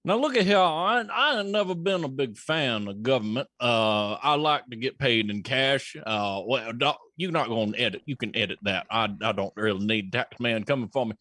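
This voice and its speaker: A man playing a folksy, stubborn blue-collar contractor, rambling and halting in a comic mock news interview. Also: medium pitch, lively intonation, bright, forceful tone, no echo, studio quality. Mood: folksy, defensive.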